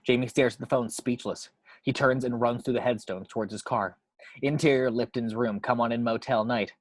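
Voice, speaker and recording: A man reading screenplay action description as a narrator, with measured, suspenseful storytelling. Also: medium pitch, steady tone, dry recording.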